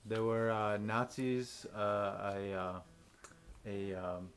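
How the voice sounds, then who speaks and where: A man's voice: on the low side, steady tone, slow. A man interviewing an older man, asking brief questions and giving short acknowledgments. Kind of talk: oral history interview.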